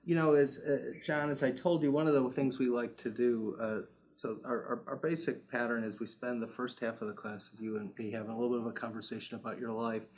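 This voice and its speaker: A man's voice: mid-range voice, fairly even intonation, a little mellow. Male moderator from the Chicago area, mid-range voice, hesitant and repeating himself. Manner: halting, many restarts. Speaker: class moderator. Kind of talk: hosting a class conversation.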